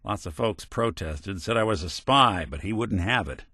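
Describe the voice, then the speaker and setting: A man's voice: on the low side, lively intonation, moderate pace, pushed and bright, husky, dry recording, studio quality, heavily acted. A male actor playing a world-weary, principled android detective in a video game, with a wry, folksy hardboiled way of talking and old-fashioned slang. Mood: wry, reminiscing. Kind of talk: video game companion dialogue, noir detective.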